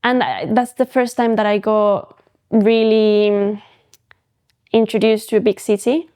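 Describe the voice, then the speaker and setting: A woman's voice: mid-range voice, fairly even intonation, a little slow, warm tone. A young woman from Mexico, a photographic artist and podcast guest, talking thoughtfully in a casual interview and often restarting her sentences. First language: Spanish.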